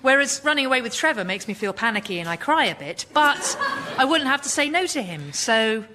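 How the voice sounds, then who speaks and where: A woman's voice: high voice, very animated, a little fast, background noise. An actress playing an anxious, tired, self-deluding woman in therapy in a radio comedy sketch. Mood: dithering.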